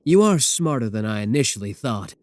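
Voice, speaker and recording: A man playing a possessive werewolf professor in an intimate ASMR roleplay, speaking deliberately with coolly affectionate menace. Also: medium pitch, very animated, no room sound, close to an everyday voice. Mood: amused menace. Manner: slow, deliberate, soft chuckles, pet names. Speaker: voice actor in a roleplay audio.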